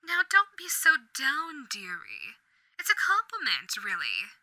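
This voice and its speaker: Woman doing a sly female demon overlord, silky coaxing, whispered asides, bright piercing tone, dry close sound. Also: high voice, huge pitch swings, measured pace, no room sound, exaggerated voice. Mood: sweetly menacing. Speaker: voice actor. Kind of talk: audio roleplay acting.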